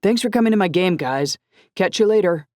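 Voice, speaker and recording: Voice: mid-range voice, expressive, brisk, a little mellow, dry recording, studio quality, voice pushed up, close to an everyday voice. A narrator voicing an easygoing, athletic teenage older brother with a casual, laid-back manner.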